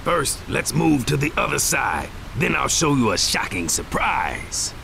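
A man voicing a cocky, playful rapping ninja mentor in an anime video game, gravelly, with slangy, rhyming talk. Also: mid-range voice, very animated, measured pace, pushed and bright, exaggerated voice. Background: faint game music.

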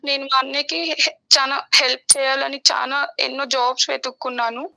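Young adult woman, high bright voice, even intonation, caller recounting personal troubles. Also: normal speed, vocal fry, rough recording. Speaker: caller seeking counsel. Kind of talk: personal account in a counselling interview.

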